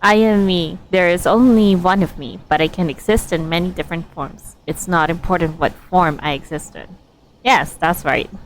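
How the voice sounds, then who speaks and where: A woman game streamer giving casual live commentary, thinking aloud in a halting way. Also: lowish voice, a little hiss or hum, low-quality recording.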